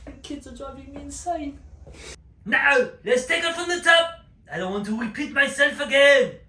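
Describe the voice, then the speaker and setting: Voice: high voice, moderate pace, sharp tone. An actor in a comic skit playing a bossy, melodramatic film director with a put-on French accent, scolding and commanding. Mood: imperious. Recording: roomy, echoing, distant mic.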